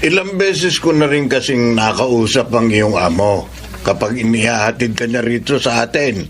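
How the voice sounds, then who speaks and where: A man with a Filipino accent playing a nosy, scheming middle-aged father in a radio drama, creaky and insinuating. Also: mid-range voice, normal speed, a little hiss or hum, close to an everyday voice. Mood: sly, prodding. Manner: insinuating, coaxing.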